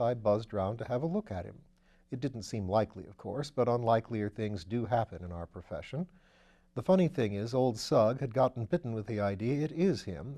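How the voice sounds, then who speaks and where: Man playing a level-headed young detective, dark-toned. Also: mid-range voice, natural voice. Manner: conversational, understated. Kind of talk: audiobook character voice.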